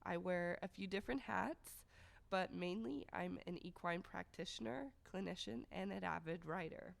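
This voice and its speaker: A woman co-hosting a casual podcast, her voice raspy from being sick, easygoing and laughing often. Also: on the low side, normal speed. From Canada. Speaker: podcast co-host.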